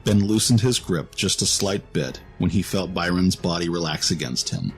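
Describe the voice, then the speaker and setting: A man's voice: low-pitched, expressive, moderate pace, faint music behind. A man narrating a scripted fantasy story in a dramatic storytelling style.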